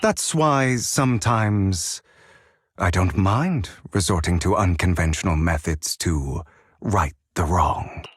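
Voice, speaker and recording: An actor playing a suave, sly gentleman in a video game, courteous and teasing, with elegant, theatrical delivery and drawn-out words. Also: sits low, slightly rough, no room sound, studio quality, exaggerated voice.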